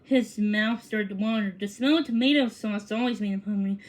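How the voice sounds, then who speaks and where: A woman reading a children's storybook aloud, haltingly, stumbling over words and correcting herself. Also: medium pitch. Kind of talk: read-aloud storybook narration.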